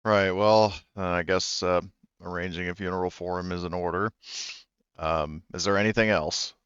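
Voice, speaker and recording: A man's voice: low-pitched, fairly even intonation, normal speed, fairly bright tone, clear, resonant tone, no room sound, muffled recording. A man running a tabletop roleplaying game as game master, chatting casually in a rambling, conversational way.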